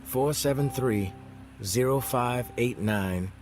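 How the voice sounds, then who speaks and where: A man voices a wary survivor in a zombie video game. His mid-range voice is dry and deliberate, answering in few words. Music plays clearly behind him. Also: medium pitch, a little mellow, lightly acted. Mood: focused, terse. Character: wary, laconic male zombie-apocalypse survivor following instructions.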